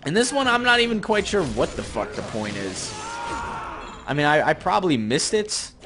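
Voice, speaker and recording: A man's voice: a little high, very animated, normal speed. Young guy doing gameplay commentary, rambly grumbly sarcastic, game music behind. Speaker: gaming video host. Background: game music and effects.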